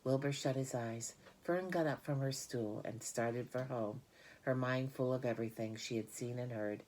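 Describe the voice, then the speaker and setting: A woman's voice: very deep voice, normal speed, darkish tone, a little hiss or hum, rough recording. A woman reading a children's story aloud as scripted narration. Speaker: audiobook reader.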